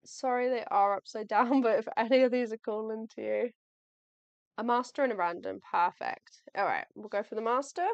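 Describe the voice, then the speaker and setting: Chatty young woman, voice going hoarse. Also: on the high side, moderate pace, no room sound, dull audio. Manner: chatty, thinks aloud, friendly and apologetic. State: losing her voice. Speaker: artist live-streaming shop orders. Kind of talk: casual livestream chat. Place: home workspace, packing orders.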